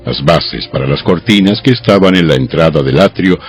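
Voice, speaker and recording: A man narrating scripture from a script in a measured, solemn, deliberate manner. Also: extremely low pitch. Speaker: audio Bible narrator. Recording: dull lo-fi audio with background music. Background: background music.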